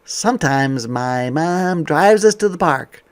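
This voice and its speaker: A man reading a picture book aloud to young children as a teacher, expressive, with softer conversational asides. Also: on the high side, slow, clear, resonant tone.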